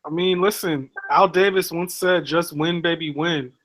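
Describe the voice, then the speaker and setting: A man's voice: on the high side, fairly even intonation, normal speed, fairly bright tone. A man co-hosting a sports talk show, dry and offhand, correcting himself as he goes. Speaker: podcast co-host. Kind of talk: casual sports banter.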